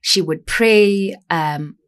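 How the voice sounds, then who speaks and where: A woman's voice: mid-range voice, drawn-out words. A woman with a South African accent hosting a conversational interview, moving easily between English and isiXhosa. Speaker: host. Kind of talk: casual interview chat.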